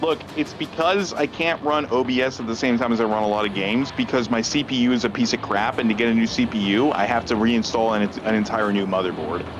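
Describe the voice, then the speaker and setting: A man's voice: mid-range voice, quick pace, hardly any pauses, low-quality recording. Rambling male streamer over music. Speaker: livestreamer. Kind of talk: casual chat on a livestream.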